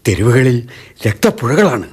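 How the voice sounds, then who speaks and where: A man playing a shaken, sombre neighbour in a Malayalam radio drama, reporting grim news in a low, grim way. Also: big pitch swings, moderate pace, slightly rough. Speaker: Ravi, a neighbour.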